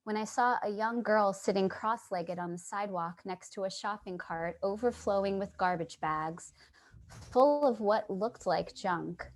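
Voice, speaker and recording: Actress as thoughtful female passerby, unhurried, mid-pitched, gentle and concerned, stage drama narration. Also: lightly acted. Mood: wistful, reflective. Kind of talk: staged play reading, dialogue and monologue.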